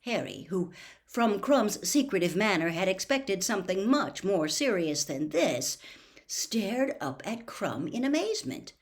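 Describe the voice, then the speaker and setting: A woman narrates a storybook in an expressive audiobook style. Her medium-pitched voice moves up and down in a lively way. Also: unhurried.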